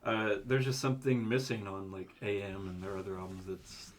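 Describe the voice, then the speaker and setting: A man's voice: lowish voice, little pitch movement, normal speed, a little hiss or hum. A man co-hosting an album review podcast, rambling casually with lots of fillers and frequent chuckles. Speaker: podcast co-host.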